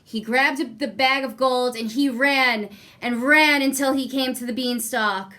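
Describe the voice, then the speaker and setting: A woman narrates a children's storybook in an expressive style. Her voice is bright and slightly high, and she takes her time, placing deliberate emphasis on phrases for young listeners. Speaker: guest story reader.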